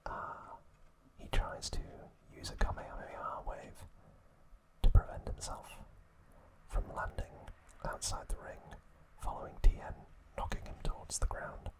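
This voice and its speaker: An ASMR host narrating trivia in a soft, unvoiced whisper, sex indistinct. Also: unhurried, low-quality recording.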